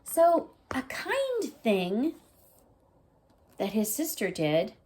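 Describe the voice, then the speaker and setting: Female primary teacher for story time: slow, very lively delivery, savors words, invites listeners to think. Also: fairly high pitch. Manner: gentle questioning, prompting listeners to think. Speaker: teacher reading a picture book aloud. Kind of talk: expressive children's read-aloud with asides to listeners.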